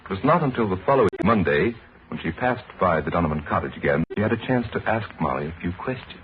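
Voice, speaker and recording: A man narrates in the solemn, measured style of old radio drama. His voice sits fairly low. The broadcast sounds old and dull, with music playing clearly behind him. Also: animated, a little hiss or hum.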